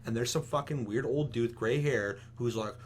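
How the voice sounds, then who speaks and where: A man's voice: mid-range voice, normal speed, slightly rough. A man in a casual, profane gaming chat as podcast host, often quoting imagined characters mockingly.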